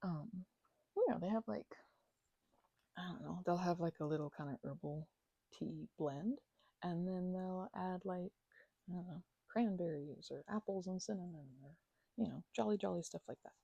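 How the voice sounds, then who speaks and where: A woman acting a chatty housemate getting over a migraine in an ASMR roleplay. Her voice is mellow, slightly low and creaky with fry, and she rambles hesitantly, teasing and playfully mock-offended. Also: normal speed, no room sound, dull audio. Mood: playfully indignant. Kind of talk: intimate ASMR roleplay, improvised-sounding conversation.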